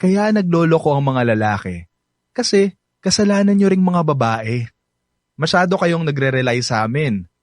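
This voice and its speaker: Cocky Filipino playboy played by an actor, animated pitch. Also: male voice, normal speed, no echo, clean studio sound, natural voice. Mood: smug, argumentative. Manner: boastful, teasing, code-switches into English. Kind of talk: Filipino radio drama acting.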